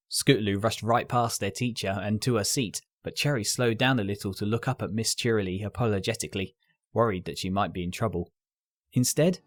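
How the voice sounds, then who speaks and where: A man reading scripted story narration as the narrator. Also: fairly low pitch. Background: music.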